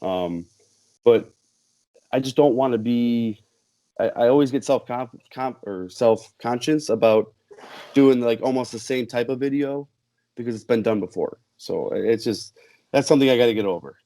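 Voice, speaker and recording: A man's voice: medium pitch, normal speed, dark tone. A man in his thirties who makes masks, talking hesitantly in a casual group chat, stammering and self-deprecating. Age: 30s. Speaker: mask maker guest.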